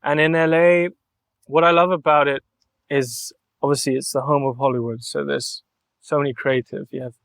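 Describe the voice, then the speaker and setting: A man's voice: fairly high pitch, steady tone, moderate pace, vocal fry, a bit bright, no room sound. Young man talking in an earnest, reflective way. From Mallorca, Spain. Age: young adult. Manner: earnest and reflective, thinks aloud in long flowing sentences. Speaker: podcast guest, artist. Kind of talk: relaxed interview conversation.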